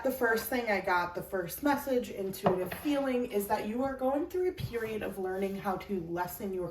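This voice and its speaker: A young woman with a slight cold giving a casual, chatty tarot reading to camera, laughing at herself now and then. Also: mid-range voice. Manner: chatty, self-deprecating, laughs often. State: slightly sick with an itchy throat and chest cough. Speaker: tarot reader. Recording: roomy echo, mic at a distance, noisy.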